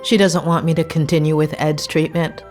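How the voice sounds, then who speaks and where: An actress playing a composed, weary therapist, probing and guarded, in a naturalistic video game performance. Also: female voice, deep voice, little pitch movement, normal speed, darkish tone, soft background music, natural voice.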